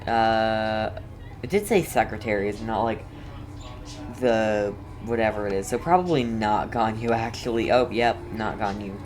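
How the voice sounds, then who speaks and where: A woman's voice: extremely low pitch, unhurried, a little mellow. A woman giving casual gameplay commentary as a streamer, rambling and questioning herself as she goes. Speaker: game streamer. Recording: clearly noisy background.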